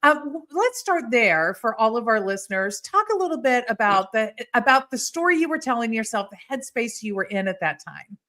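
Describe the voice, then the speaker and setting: A woman's voice: a little high, sing-song, brisk, few pauses, sharp tone. A woman hosting a live podcast over a video call, greeting viewers by name in a chatty way. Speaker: podcast host. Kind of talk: live-streamed podcast chat.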